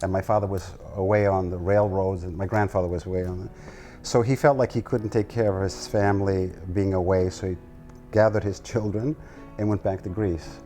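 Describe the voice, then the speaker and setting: A man in his sixties from the United States, an artist telling his family story in an interview at his home, relaxed and wry. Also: low-pitched. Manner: relaxed, wry, self-deprecating. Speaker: guest artist.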